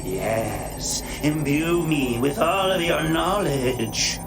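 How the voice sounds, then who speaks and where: Game role: brash, playful hacker vigilante, highly expressive, crude and teasing, loud music underneath. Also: male voice, very slow, exaggerated voice. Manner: theatrical, teasing, slipping into mock voices. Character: cocky, wisecracking masked male vigilante hacker, playful and crude. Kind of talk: video game voice acting.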